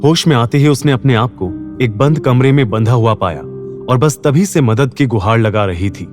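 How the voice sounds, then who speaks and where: A man's voice: medium pitch, normal speed, music in the background. A man narrating an audio drama serial from a script in a suspenseful, dramatic storytelling style.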